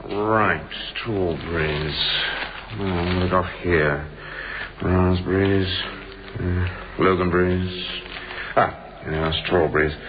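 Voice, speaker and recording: Grumbly british bloke stuck alone, low voice, muttering away, muffled hissy radio play. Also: male voice, fairly even intonation. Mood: businesslike. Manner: muttering to himself, halting, fussy. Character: grumbling man trapped alone in a cold store. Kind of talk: radio drama acting, muttered monologue. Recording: muffled, noisy old radio drama recording.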